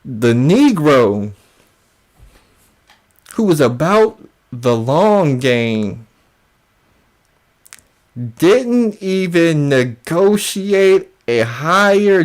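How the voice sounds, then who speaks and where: Slow, emphatic man doing mocking commentary. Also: on the high side. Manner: emphatic, repetitive, taunting. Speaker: internet commentator and show host.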